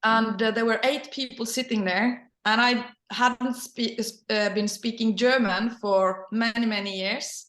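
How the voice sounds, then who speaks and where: A middle-aged woman whose native language is Norwegian, speaking English with a Norwegian accent in casual personal storytelling, sometimes halting as she searches for words. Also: on the high side, fairly even intonation, fairly bright tone. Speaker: interview guest, company leader. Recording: roomy echo.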